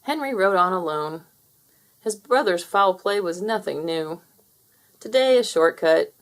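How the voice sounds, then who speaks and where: Brief: woman storybook narrator, expressive read-aloud, gravelly texture. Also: fairly low pitch. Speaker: storybook reader.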